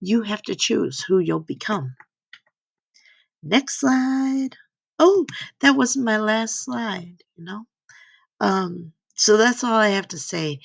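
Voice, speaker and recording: An older woman speaks in a hoarse, gravelly voice with a husky edge. She gives a rambling, earnest faith-based motivational talk and keeps checking in with "right?" and "you know?". The sound is dry and close. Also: medium pitch, animated, takes it slowly, long pauses, no room sound. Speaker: speaker giving a slide talk.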